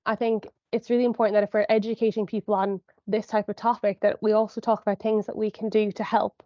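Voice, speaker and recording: A woman's voice: medium pitch, level tone, quick pace, dark tone, dry recording, highs cut off. A young woman psychologist, sniffly from a cold, giving an explanatory online talk to parents, hedging often with "I suppose" and ums. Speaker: sport and exercise psychologist presenter. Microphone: video call.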